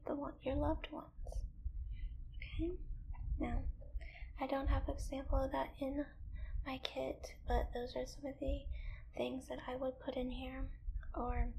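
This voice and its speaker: A woman delivers gentle ASMR instruction in a breathy, whispery voice. It sits high and crackles with fry, pausing hesitantly between thoughts. Noticeable noise runs behind her. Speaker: ASMR video creator. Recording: clearly audible background noise.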